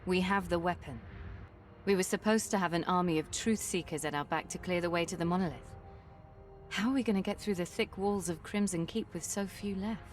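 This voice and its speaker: A woman's voice: on the low side, fairly quick, close to an everyday voice. An actress voicing a pragmatic, hardened warrior woman in a fantasy video game, serious and direct. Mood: skeptical, determined. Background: game music.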